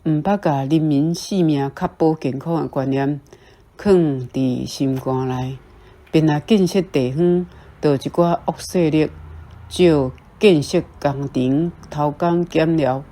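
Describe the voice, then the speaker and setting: A woman's voice: low-pitched, warm tone. A woman speaking Taiwanese Hokkien, reading out her earnest reflections on a short story as a book club member. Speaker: book club reader sharing reading impressions.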